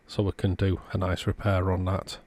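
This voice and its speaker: Male repair technician, lower-pitched, casual narrated commentary for a hands-on video. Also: completely flat delivery, normal speed, a little mellow, dry recording.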